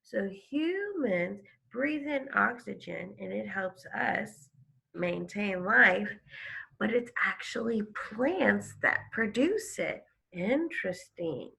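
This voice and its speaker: A woman teaching young children, reading aloud and explaining in a deliberate, emphatic, questioning way. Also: mid-range voice, animated, vocal fry, a bit bright. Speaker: second grade teacher. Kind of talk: instructional read-aloud for children.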